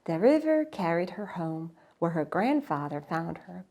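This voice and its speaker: A woman reading a children's story aloud in a dramatic, expressive way, sometimes dropping to hushed, breathy phrases. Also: on the low side, a little slow.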